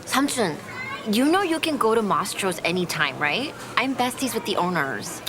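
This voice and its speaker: A woman's voice: mid-range voice, sing-song, normal speed, background noise, close to an everyday voice. An actress playing a self-assured, sardonic young rich woman with a dry, sarcastic delivery in an audio drama. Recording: music behind the voice.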